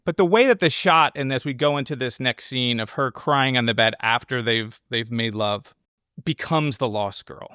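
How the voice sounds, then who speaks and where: Guy podcast host chatting through a movie, explains as he thinks, mid pitched voice, really dry recording. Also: moderate pace, bright, forceful tone, clear, resonant tone, dry recording. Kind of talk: casual film analysis chat.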